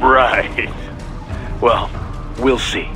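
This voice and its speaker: A man's voice: mid-range voice, steady tone, drawn-out words, over music. An actor playing a casual, wry male mission handler, giving an offhand briefing in a video game.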